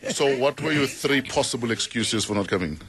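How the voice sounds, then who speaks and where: A man's voice: mid-range voice, lively intonation, a little fast, fairly bright tone. A man hosting a morning radio interview, asking playful, teasing questions. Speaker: radio host. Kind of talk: casual radio interview chat.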